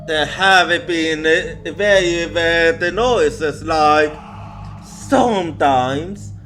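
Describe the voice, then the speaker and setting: A man telling a ghost story in an ASMR roleplay style, halting and hesitant, often repeating himself. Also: a little high, a bit bright. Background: music.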